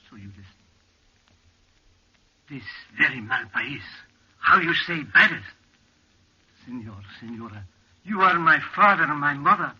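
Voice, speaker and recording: A man's voice: a little high, animated, a little slow, pauses often, slightly rough, exaggerated voice. An actor playing a loyal, superstitious Mexican man in an old radio drama, speaking broken, formal English sprinkled with Spanish in a solemn, earnest way. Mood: urgent pleading. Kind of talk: old-time radio acting. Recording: dull old radio recording.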